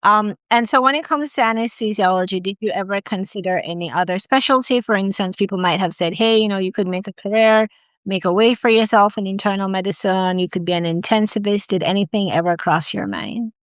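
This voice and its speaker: A woman hosting an interview, asking questions in a measured, encouraging way. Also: medium pitch, smooth, no echo. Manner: measured, encouraging, slow phrasing. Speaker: host, admissions consultant.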